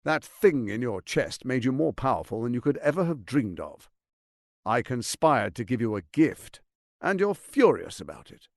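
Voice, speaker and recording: An actor playing a suave, scheming tyrant lord in a fantasy video game, speaking in a pompous, silky, mock-courteous and theatrical manner. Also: male voice, medium pitch, very animated, normal speed, no room sound, clean studio sound. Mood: coolly persuasive.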